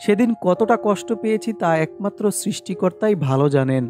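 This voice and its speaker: A man's voice: high voice, lively intonation, fairly quick, music in the background. A man voicing earnest, troubled young village friends in sentimental cartoon dialogue. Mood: downcast.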